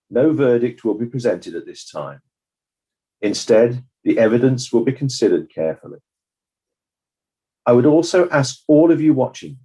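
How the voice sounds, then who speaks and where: A man playing a measured, judicious coroner, delivering a formal, deliberate summing-up in a dramatized courtroom inquiry. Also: medium pitch, mellow, slightly rough, low-quality recording. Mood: solemn, formal. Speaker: presiding judge of inquiry.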